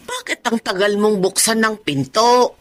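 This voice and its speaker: A woman's voice: mid-range voice, animated, unhurried, natural voice. An actress playing a caring, older mother-in-law and grandmother in a radio drama, fussing and exclaiming.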